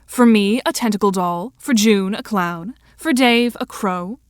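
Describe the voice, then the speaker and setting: A woman's voice: medium pitch, a little slow, a little hiss or hum, close to an everyday voice. A woman voicing a composed, formally spoken girl in an audio drama.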